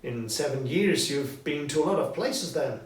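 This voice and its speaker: A man voicing a frightened, excitable old miser in a storybook reading, with an agitated, trembling delivery. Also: normal speed, natural voice. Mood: anxious. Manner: high-pitched, trembling, agitated. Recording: roomy echo, distant mic, background noise.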